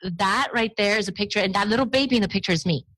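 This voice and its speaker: A woman's voice: medium pitch, fast talker, fairly bright tone. Woman in her thirties, born in Romania, Romanian first language, interview guest, chatty, easy storyteller. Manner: chatty, storytelling, laughs easily. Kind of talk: conversational interview.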